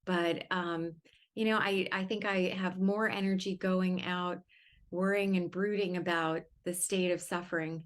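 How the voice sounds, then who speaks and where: A woman in her fifties from Connecticut, a wealth consultant talking about herself as a podcast guest, chatty and quick to laugh. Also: fairly low pitch, steady tone. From Connecticut, New England. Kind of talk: casual interview chat.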